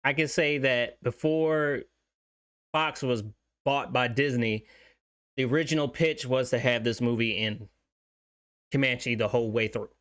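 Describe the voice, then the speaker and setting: A man of average pitch gives his take as a movie review co-host. He speaks informally and bluntly. Heavy swearing peppers his conversational delivery. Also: measured pace, pauses often, fairly bright tone, clear, resonant tone, no echo, clean studio sound. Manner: blunt, heavily profane. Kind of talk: casual film discussion.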